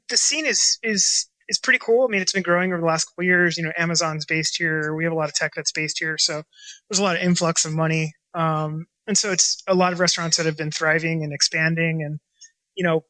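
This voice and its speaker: A chef and restaurant owner from Washington State, talking casually in an interview and rambling with lots of fillers and restarts. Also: male voice, fairly high pitch, sharp tone, dry recording, poor audio. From Washington State, USA.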